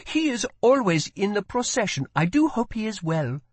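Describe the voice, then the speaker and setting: A high, bright young girl, as a male reader puts her on, lovestruck and earnest, picking her words in stiff, heavily accented English. Her speech is slightly breathy and comes from a dry, close microphone. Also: big pitch swings, moderate pace, dry recording. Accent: foreign-accented English. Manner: formal, careful broken English.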